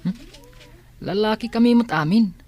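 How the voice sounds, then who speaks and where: A woman's voice: medium pitch, moderate pace, warm tone, lightly acted. A woman playing a lazy, defensive teenage schoolboy who fears failing, in an audio drama. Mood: defensive, uneasy. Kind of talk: amateur audio drama acting.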